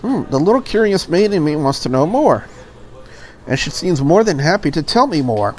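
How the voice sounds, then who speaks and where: A woman voicing a polite, deferential and curious maid in a visual novel, in a courteous, measured manner. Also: sits low, big pitch swings. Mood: curious, musing. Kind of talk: visual novel character read aloud.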